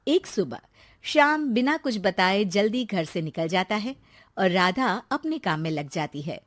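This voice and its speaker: A woman narrating a cartoon moral story in a lively storytelling manner. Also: mid-range voice, unhurried, no room sound, studio quality.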